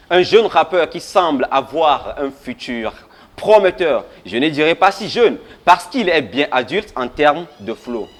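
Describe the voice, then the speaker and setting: Male presenter, scripted opening, theatrical delivery, mid-range voice. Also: medium pitch. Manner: theatrical, dramatic build-up. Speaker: show presenter. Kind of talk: scripted introduction.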